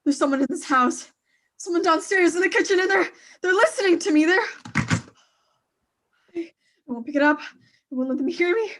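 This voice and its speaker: An actress playing an anxious, demanding invalid wife in a radio thriller, with a fretful, breathlessly panicky delivery. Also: female voice, very high voice, animated, normal speed, pauses often, fairly bright tone. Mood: alarmed. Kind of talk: 1940s radio thriller acting.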